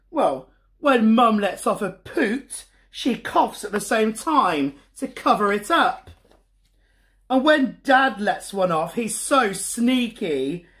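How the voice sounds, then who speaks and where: Lady putting on a high cheeky little boy voice for a storybook, bouncy and boastful, lively.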